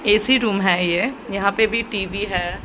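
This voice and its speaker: A woman's voice: medium pitch, fairly even intonation, unhurried. A young woman giving a chatty tour in a casual travel vlog, often exclaiming as she goes, filmed on a handheld phone. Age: young adult. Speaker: travel vlogger. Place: hotel room, then outdoor rooftop pool. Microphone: handheld phone at arm's length. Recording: noisy phone audio with ambience.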